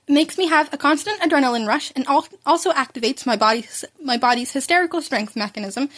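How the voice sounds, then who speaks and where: A woman's voice: sits high, a bit bright. A woman voicing a cheerful, teasing teenage superhero boy in a fan-fiction reading, breezy and explanatory.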